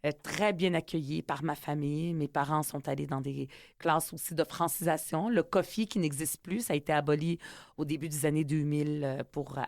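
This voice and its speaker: A woman's voice: low-pitched, a little mellow, no echo, studio quality. A woman in her forties, raised in Quebec after arriving from the Middle East at ten, with a Quebec French accent and Arabic as her first language, talking earnestly about belonging as a guest and hesitating often. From Middle East, raised in Quebec from age ten. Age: 40s. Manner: earnest and reflective, many hesitations. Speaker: politician and author guest. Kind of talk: conversational interview.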